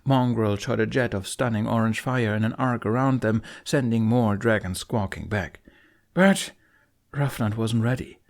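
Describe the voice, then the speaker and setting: A man's voice: on the low side, steady tone, moderate pace, hardly any pauses. A man reading a fantasy audiobook as narrator, in dramatic scripted narration.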